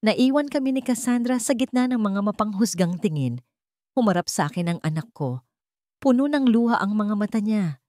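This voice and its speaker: A woman's voice: mid-range voice, animated, moderate pace, warm tone, no echo, clean studio sound. A woman giving dramatic scripted narration of a romance story.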